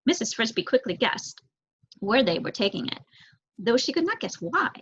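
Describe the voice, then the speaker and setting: A young woman with a husky voice reading a children's novel aloud as scripted narration. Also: a little high, very animated, normal speed, fairly bright tone. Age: young adult. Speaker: audiobook reader, student volunteer. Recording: dull lo-fi recording.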